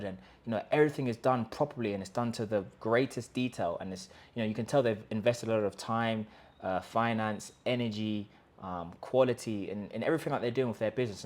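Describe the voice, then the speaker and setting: A man with a fairly low voice delivers an emphatic talk to camera. He stacks up rhetorical questions and restarts his sentences as he pushes his point. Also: a little fast. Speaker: host of a language-learning channel. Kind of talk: direct-to-camera pitch talk. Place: in Colombia.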